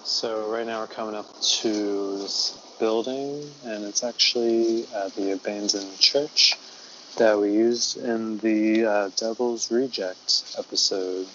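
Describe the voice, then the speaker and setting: A man playing a deadpan male ghost-tour guide who talks low and quietly, rambling with lots of fillers. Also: slow pace, dull audio, slight background noise.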